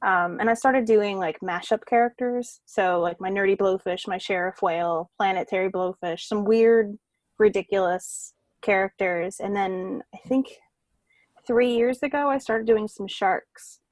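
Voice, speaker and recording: A woman's voice: mid-range voice, unhurried, dry recording. A woman guest on a podcast, an artist, chatting informally and with some self-deprecation about herself. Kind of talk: casual interview chat.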